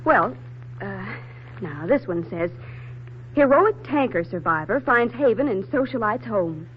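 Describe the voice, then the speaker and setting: A wry, composed young woman played by an actress in a forties radio play, coolly teasing. Her pitch is medium but moves with lively swings. The vintage recording is muffled with light hiss. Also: a little slow, natural voice. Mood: dry, arch. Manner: dry, teasing. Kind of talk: 1940s radio drama acting. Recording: muffled, faintly hissy 1940s radio recording.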